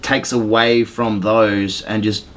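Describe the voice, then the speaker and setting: A man talking casually as a podcast panelist, with a somewhat halting, hesitant delivery. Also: on the low side, flat delivery, fairly bright tone. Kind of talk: casual podcast chat about films.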